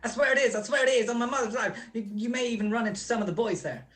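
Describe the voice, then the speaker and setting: A woman's voice: fairly high pitch, racing, a bit bright, faint music behind, close to an everyday voice. A woman playing a nervous male stool pigeon in a radio crime drama, stammering, slangy and pleading. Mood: anxious, confiding. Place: recorded at home over a video call.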